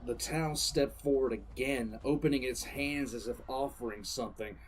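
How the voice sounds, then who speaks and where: A battle-hardened military commissar, played by a man narrating a sci-fi audio drama. His voice sits at a middle pitch. He tells it deliberately, with grim contempt and stubborn defiance. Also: slightly rough, lightly acted, poor audio. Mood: grim disdain. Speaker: narrator and lead character.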